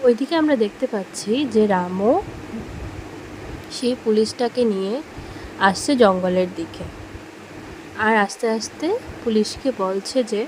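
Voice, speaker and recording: A woman's voice: mid-range voice, measured pace, pauses often, slight background noise, poor audio. A woman telling a scripted horror cartoon story for children as its storyteller. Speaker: storyteller and channel host.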